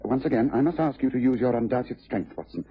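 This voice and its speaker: A shrewd gentleman detective with an English accent, talking quickly in a very husky voice. He is precise, courteous and in command, in an old radio drama with muffled sound. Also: mid-range voice. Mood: confident, explanatory. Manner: precise, courteous, authoritative, questioning. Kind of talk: vintage radio drama acting.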